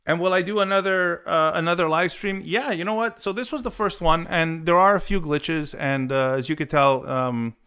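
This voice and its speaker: A man's voice: a little high, fairly even intonation, normal speed, hardly any pauses, sharp tone, clear, resonant tone. A man in his forties, born in Italy with Italian as his first language, chatting casually on a live stream with many fillers and restarts. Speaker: guitar channel host.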